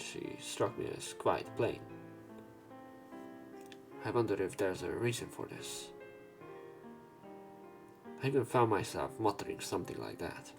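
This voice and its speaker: Low, creaky-voiced man narrating. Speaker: let's play narrator and commentator. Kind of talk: reading visual novel text aloud with casual asides. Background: visual novel game music and sound effects.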